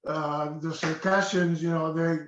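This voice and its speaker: A man's voice: a little high, level tone, measured pace, a little hiss or hum. An older man from Egypt, a guest in a casual interview, speaking hesitantly with many fillers and restarts. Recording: echoey dull-sounding room.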